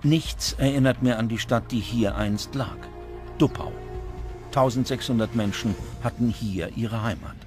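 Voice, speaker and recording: Male documentary narrator, mid-range voice, scripted delivery, clearly audible music bed. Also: mid-range voice, measured pace. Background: music under the voice.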